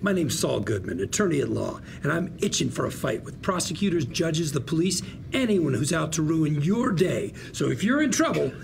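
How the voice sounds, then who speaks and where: A man's voice: fairly high pitch, very animated, normal speed, creaky, few pauses, slight background noise. An actor playing a slick, folksy, showy defense lawyer in a table read, persuading like a theatrical salesman. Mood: brash pitch. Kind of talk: acted table read of a TV script.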